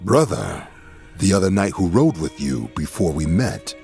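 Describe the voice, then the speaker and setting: A man's voice: deep voice, very animated, unhurried, gravelly, a little mellow, faint music behind, full character voice. A man playing a courteous, careful fantasy warrior in an audio drama, formal and polite.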